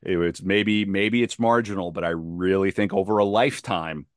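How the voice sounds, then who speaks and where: A man's voice: deep voice, steady tone, moderate pace, smooth, dry recording, clean studio sound. A man chatting casually on a podcast, emphatic and given to repeating his phrases for effect.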